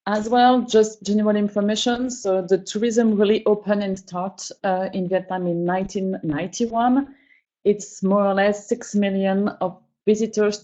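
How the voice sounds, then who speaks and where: A woman trainer from a travel company gives a webinar presentation in non-native English, often pausing and searching for words. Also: medium pitch, warm tone, lo-fi sound.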